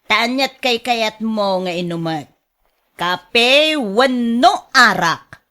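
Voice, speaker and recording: Woman doing a naggy restless wife for a radio drama, sighs a lot, talks slow, bright voice. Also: a little high, animated, full character voice. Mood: demanding. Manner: exclamatory, sighing, querulous. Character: restless, nagging wife fretting and bickering with her husband. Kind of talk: Ilocano radio drama acting.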